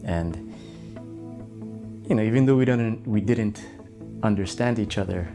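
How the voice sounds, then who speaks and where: Gravelly, low-voiced man, halting. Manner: halting, reflective, many "you know"s. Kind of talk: casual interview answers. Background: guitar music.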